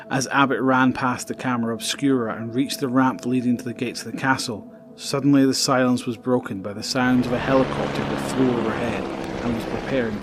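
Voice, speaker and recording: Guy narrator reading an audio drama story, music going behind him. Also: medium pitch.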